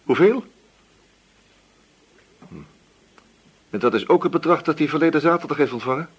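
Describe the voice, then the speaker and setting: An actor playing a shrewd, sceptical police inspector in a Dutch radio drama, questioning briskly and with authority. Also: male voice, fairly high pitch, husky. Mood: businesslike.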